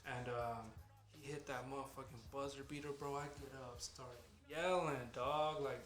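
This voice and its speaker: A man's voice: medium pitch, steady tone, moderate pace, a bit bright, faint music behind. A man in his late twenties hosting a podcast, talking loosely and profanely, turning motivational. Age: 20s. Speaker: podcast host. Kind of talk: casual podcast chat. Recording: echoey room.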